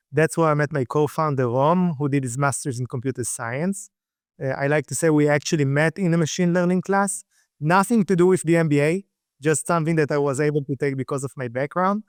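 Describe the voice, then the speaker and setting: A man's voice: fairly high pitch, clear, resonant tone, dry recording, studio quality. Israeli-accented man, thirties, easygoing guest, clean resonant voice. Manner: relaxed, fillers and restarts, laughs lightly. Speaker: guest, startup CEO. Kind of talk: casual interview talk.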